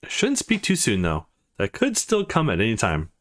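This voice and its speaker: A man reading a visual novel aloud as a let's play host, in a casual, expressive style. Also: fairly low pitch, normal speed. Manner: expressive, informal.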